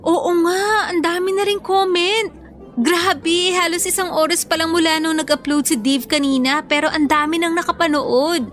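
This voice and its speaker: A woman's voice: very high-pitched, steady tone, normal speed, a bit bright. An actress playing a sweet, bashful young college woman in a Filipino radio drama, speaking with a Filipino accent. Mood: amazed.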